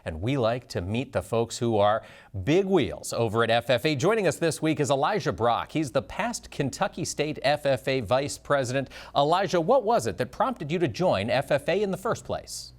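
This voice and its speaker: A man's voice: mid-range voice, very animated, moderate pace. A man hosting a farm news program, introducing guests and asking questions in an upbeat presenter manner. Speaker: news show host. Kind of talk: broadcast interviewing.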